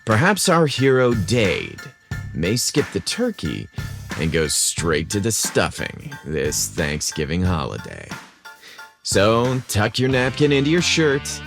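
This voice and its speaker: A man's voice: lowish voice, sing-song, slow pace, vocal fry, over music. A man hosting a podcast and narrating a scripted story in a teasing, suggestive style.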